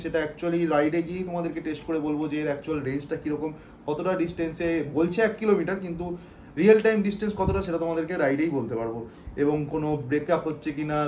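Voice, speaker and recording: A man's voice: fairly high pitch, darkish tone, slight background noise. A man explains things casually in a vlog style, mixing in English words. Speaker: motorcycle vlogger. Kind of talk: casual product demo vlog.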